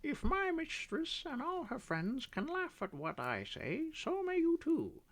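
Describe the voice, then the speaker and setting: A woman's voice: high-pitched, wildly swooping pitch, a little slow, voice pushed up, exaggerated voice. A woman doing a smug squawking male parrot. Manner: smug, mocking, squawking refrain. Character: boastful chattering male pet parrot.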